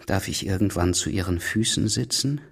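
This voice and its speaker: Worldly gentleman globetrotter for radio drama: husky low voice, urbane, mocking, self-deprecating. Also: normal speed, full character voice. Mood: ironic admiration. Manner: urbane, ironic, courteous. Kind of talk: German radio drama acting.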